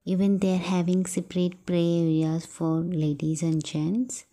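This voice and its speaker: A woman's voice: fairly low pitch, flat delivery, a little slow, dark tone, faint music behind. A woman vlogger narrating a farm trip in a plain voice-over, explaining each stop simply.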